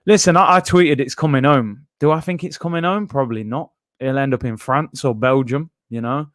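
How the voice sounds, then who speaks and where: A man's voice: mid-range voice, normal speed, a bit bright, no room sound, clean studio sound. A young man hosting a casual live stream, chatting loosely and reading out viewer comments. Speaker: watchalong host reading chat.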